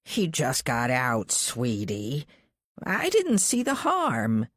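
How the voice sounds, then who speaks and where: A woman's voice: very deep voice, wildly swooping pitch, slow pace, no room sound, studio quality, full character voice. A woman voicing a stubborn, oblivious mother of an adult daughter in an audiobook. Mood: placating. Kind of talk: audiobook character dialogue.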